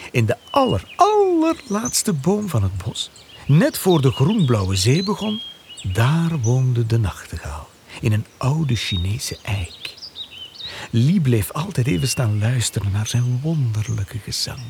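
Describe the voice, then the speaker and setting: A man narrating a children's radio play as a storyteller, playful and expressive. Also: medium pitch, takes it slowly, vocal fry, noisy background.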